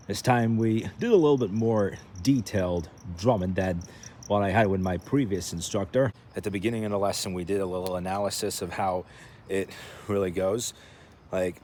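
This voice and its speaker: A man's voice: low-pitched, a little mellow, slight background noise. A man vlogging casually, rambling and joking as he talks half to himself and half to his viewers. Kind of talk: casual rambling vlog to camera.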